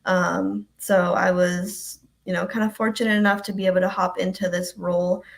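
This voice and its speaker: Chatty young woman with gravelly fry. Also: lowish voice, flat delivery, normal speed. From Ecuador. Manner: chatty, many ums and likes. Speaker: guest, health department coordinator. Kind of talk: casual live-stream chat.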